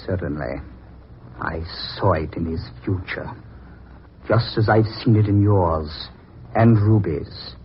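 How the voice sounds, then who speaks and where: Creepy vengeful fortune teller, old radio play, measured and ominous, thin phone-like sound, bit of hiss. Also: male voice, deep voice. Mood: cold, offended. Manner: measured, formal, ominous phrasing. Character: sinister vengeful male fortune teller, menacingly polite, thin-skinned. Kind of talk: old-time radio drama acting. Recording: noisy tinny old radio recording.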